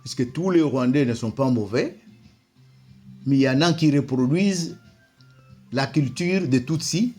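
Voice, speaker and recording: A man's voice: mid-range voice, measured pace, long pauses, darkish tone, breathy edge, faint music behind. A man, not a native French speaker, giving commentary and reading from an old document, hesitant with many repeated words. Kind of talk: slow spoken commentary reading from a book.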